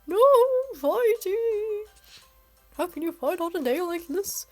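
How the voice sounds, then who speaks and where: A woman squeaking as a shrill scolding little creature. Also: expressive, takes it slowly, darkish tone, faint music behind, heavily acted.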